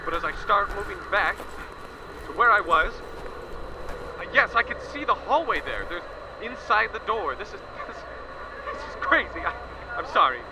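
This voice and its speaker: A seasoned war correspondent, played by a man, reports live, tripping over his words in awe. His voice runs high and lively. It comes through a hissy, distant line in the radio drama. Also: moderate pace, pauses often. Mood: awed. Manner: stammering, awed and breathless. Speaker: field reporter.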